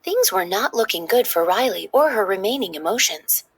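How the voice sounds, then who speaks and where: Husky, expressive woman reading a storybook. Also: medium pitch, normal speed, no room sound. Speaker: children's story reader. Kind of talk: expressive scripted read-aloud narration.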